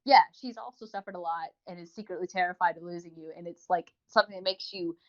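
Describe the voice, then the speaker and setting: A woman's voice: fairly low pitch, fast talker. A woman co-hosting a podcast, giving a rambling, enthusiastic review in a casual, chatty style. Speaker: podcast co-host. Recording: dull lo-fi voice recording.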